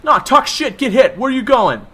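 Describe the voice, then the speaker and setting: A man voicing a hot-headed young boyfriend who shouts insults and picks a fight. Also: very high-pitched, big pitch swings, normal speed, voice pushed up, full character voice. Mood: aggressive. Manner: aggressive, loud, insulting.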